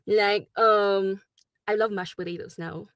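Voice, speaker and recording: A woman in her forties with a Filipino accent, a travel nurse speaking in a recorded video message, pausing often with filler words. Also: mid-range voice, fairly even intonation, a bit bright, dry recording, clean studio sound. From Philippines. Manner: hesitant, many ums and likes.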